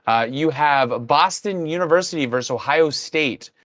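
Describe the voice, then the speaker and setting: A man's voice: on the high side, moderate pace, fairly bright tone, no room sound, clean studio sound. A man who has lost his voice, co-hosting a casual sports podcast and rambling through a list of teams while correcting himself. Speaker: podcast co-host.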